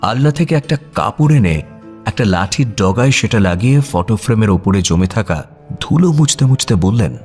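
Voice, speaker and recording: A man's voice: on the low side, lively intonation, normal speed, darkish tone. A man narrating a scripted suspense story in a descriptive, dramatic way, with music and crickets behind him. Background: music bed with cricket sounds.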